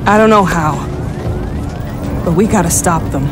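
A woman's voice: fairly low pitch, moderate pace, natural voice. A young woman playing a tough, determined ranger in a video game, with a hard-edged, resolute delivery. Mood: grim, resolute. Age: young adult. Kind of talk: video game cutscene acting. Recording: music behind dialogue.

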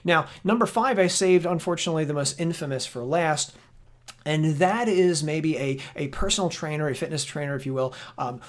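A man giving an informal instructional lecture as a fitness educator, emphatic and conversational, repeating words for stress. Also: on the high side. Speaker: personal trainer and fitness educator.

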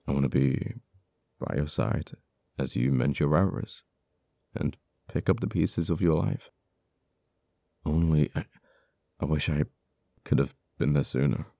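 A man playing an uptight, wounded but earnest government official in an ASMR audio roleplay, speaking haltingly with stammers. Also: extremely low pitch, creaky, mellow, no echo, full character voice. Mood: earnest, tender. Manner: halting, hesitant delivery with stammers. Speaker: voice actor.

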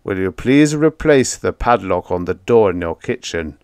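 A man voicing a secretive, nervous male vampire lodger who talks in a loud, clipped, guarded way. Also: low voice, lively intonation, normal speed, smooth, dry recording, studio quality.

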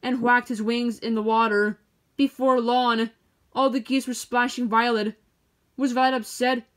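A woman narrating a children's picture book aloud in an expressive storytelling manner. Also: a little high, measured pace.

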